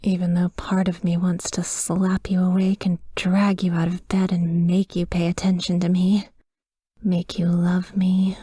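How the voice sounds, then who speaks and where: A woman performs a devoted female vampire, wistful and loving, in an intimate ASMR roleplay. Her whisper is breathy and slow, with little rise and fall in pitch. She sounds tender and hushed throughout. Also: monotone, no echo, clean studio sound.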